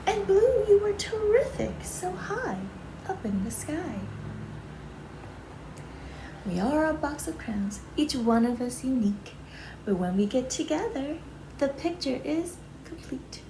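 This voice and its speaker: A woman with a high voice reads children's verse aloud in a sing-song style. Her intonation is very lively and she takes her time, as a preschool teacher would. The recording is roomy. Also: takes it slowly. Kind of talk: expressive children's storybook read-aloud. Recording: roomy, echoing, clearly noisy home-style recording.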